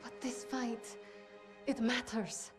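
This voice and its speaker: An actress playing a sardonic, unflappable Soviet sniper in a war game. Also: female voice, fairly high pitch, fairly even intonation, slow pace. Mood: wry. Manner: dry, defiant, unflappable. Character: defiant, sardonic young Soviet woman sniper. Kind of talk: cinematic war game acting. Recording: under loud music.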